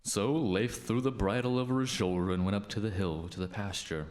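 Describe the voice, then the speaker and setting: A man narrating a scripted radio play tale as an expressive, dramatic storyteller. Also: lowish voice, brisk.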